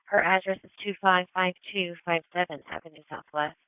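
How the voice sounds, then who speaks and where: A woman's voice: lowish voice, steady tone, fairly quick, clear, resonant tone, dry recording. A woman working as a police dispatcher, giving clipped, procedural calls with codes and addresses over a radio. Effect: police radio transmission. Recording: tinny narrow-band police scanner radio.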